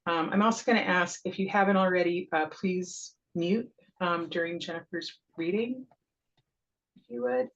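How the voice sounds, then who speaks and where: A woman's voice: on the low side, moderate pace, lo-fi sound. A woman hosting a poetry reading over a video call, welcoming the audience and introducing the featured poet, with frequent ums. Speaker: host and town poet laureate.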